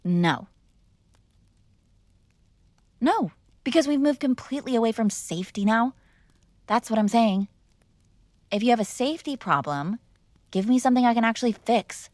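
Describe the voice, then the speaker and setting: A woman's voice: a little high, expressive, normal speed, a little mellow, no echo, natural voice. A woman playing a spoiled, defiant mob boss's young daughter in a conversational, argumentative ASMR roleplay. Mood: defensive, exasperated. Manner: conversational, sighing, coaxing and argumentative. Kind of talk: ASMR girlfriend roleplay, one-sided conversational acting.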